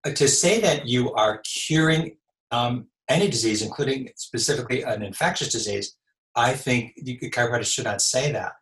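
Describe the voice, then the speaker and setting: A man in his sixties with a slightly raspy voice, a chiropractor giving measured explanatory answers in an interview. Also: mid-range voice, fairly bright tone. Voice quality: a little raspy. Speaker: chiropractor guest. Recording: echoey room.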